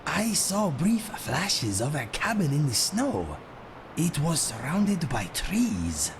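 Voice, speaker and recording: A man playing a loyal, gentle cat-man companion in a video game, speaking formally and troubled. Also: fairly high pitch, wildly swooping pitch, background noise, heavily acted. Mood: distressed, dazed. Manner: formal speech without contractions, measured. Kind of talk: video game character acting.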